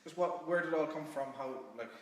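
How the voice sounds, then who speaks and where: A man's voice: on the high side, steady tone, fast talker. A man with an Irish accent hosting a casual interview as the one asking the questions. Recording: very echoey hall, distant mic, faint noise.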